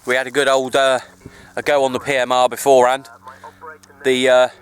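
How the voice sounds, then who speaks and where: A man's voice: medium pitch, monotone, brisk, a little hiss or hum, low-quality recording. A man chatting casually and in a rambling, easygoing way for an outdoor hobby vlog, recorded on a handheld camera in the countryside. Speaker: amateur CB radio hobbyist vlogger.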